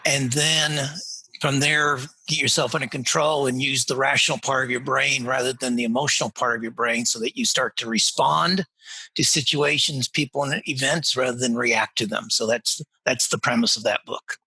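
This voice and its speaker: A man author explaining the premise of his book in a measured way on a talk show. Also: mid-range voice, steady tone, gravelly, bright, forceful tone, husky, no echo, low-quality recording. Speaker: author, guest.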